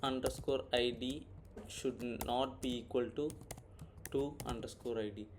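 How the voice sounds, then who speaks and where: A man's voice: mid-range voice, fairly even intonation, unhurried. A man walking through an informal screen-recorded coding tutorial, thinking aloud and often checking in with "right?". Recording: clear background noise, keyboard typing. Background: computer keyboard typing, background noise.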